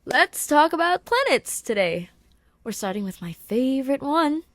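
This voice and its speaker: A woman voicing an eager, friendly human male science teacher, animated and encouraging, in a fan fiction audio reading. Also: sits high, moderate pace, dry recording, voice pushed up. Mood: cheerful, welcoming. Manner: animated, encouraging classroom delivery.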